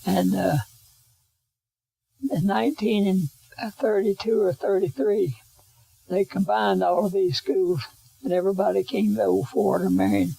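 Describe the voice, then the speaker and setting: A man's voice: sits high, steady tone, unhurried, creaky, pauses often, mellow, slightly rough, low-quality recording. A man, recalling his early life in an oral history interview and repeating himself as he remembers. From western North Carolina. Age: 80s.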